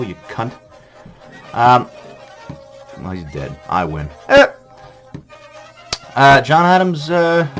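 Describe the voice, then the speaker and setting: A man hosting a comedic history vlog, rambling and swearing through a list of presidents with lots of fillers, wired on coffee. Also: mid-range voice. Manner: rambling, profane, full of fillers. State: jittery from coffee. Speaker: vlog host. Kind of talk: irreverent comedic history rundown.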